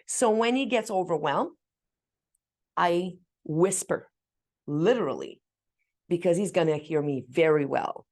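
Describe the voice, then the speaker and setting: A middle-aged woman with a slightly low voice talks casually and emphatically. She is an animated storyteller who slips into quoted dialogue, like a parent swapping tips with a co-host. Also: unhurried, pauses often. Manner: talkative, emphatic, self-described loud speaker. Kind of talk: casual conversational talk.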